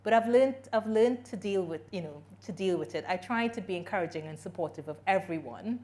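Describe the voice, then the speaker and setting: A woman's voice: on the low side, animated, a little fast, darkish tone. A middle-aged woman from Trinidad with a Trinidadian accent, answering audience questions at a conference in an earnest, conversational way. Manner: earnest, conversational, with frequent "you know" and restarts.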